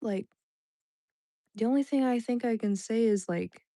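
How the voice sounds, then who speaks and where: A woman's voice: a little high, a little slow, warm tone, dry recording, clean studio sound. A young woman whose first language was Indonesian, chatting casually with her stream viewers in a rambling way, full of "like"s and restarts. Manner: rambling, filler-heavy, many "like"s and restarts. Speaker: livestream host. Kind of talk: casual chat with live chat viewers.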